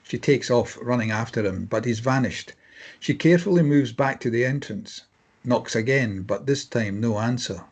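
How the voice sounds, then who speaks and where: A man's voice: medium pitch, normal speed. A man reading scripted stage directions as narrator at a table read.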